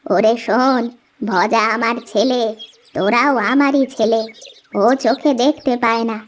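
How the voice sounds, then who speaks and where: A gentle, wise village mother voiced by a woman soothes and admonishes in a fairly high voice. It has the sound of a children's cartoon, with slight noise and bird chirps. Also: steady tone, a little slow, natural voice. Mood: explaining patiently.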